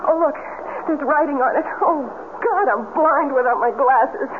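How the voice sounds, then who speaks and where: A woman's voice: high-pitched, moderate pace, close to an everyday voice. An American actress playing an anxious, spirited young woman trapped in a cave, pleading and dramatic, in a 1970s radio drama. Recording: noisy narrow-band 1970s radio recording.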